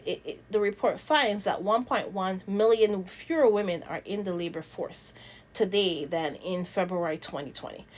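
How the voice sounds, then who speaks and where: Halting, conversational woman vlogger from Barbados. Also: medium pitch. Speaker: host of a royal news commentary channel. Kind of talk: casual vlog commentary, reading statements aloud.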